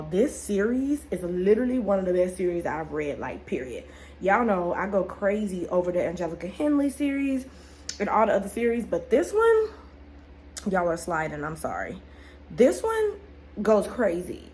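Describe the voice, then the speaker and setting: A young woman vlogger from the Bay Area talks books in a loose, chatty way, speaking straight to her viewers. Her voice sits at an ordinary middle pitch for a woman. Car and road noise runs steadily behind her. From Bay Area, California. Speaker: book vlogger. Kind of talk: casual vlog chat.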